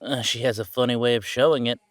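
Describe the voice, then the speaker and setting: A sardonic, rebellious young werewolf son, played by a man in an audio drama. His medium-pitched male voice is wry and skeptical, recorded dry with no room sound. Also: fairly even intonation, fast, dry recording, close to an everyday voice. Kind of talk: audio roleplay drama acting.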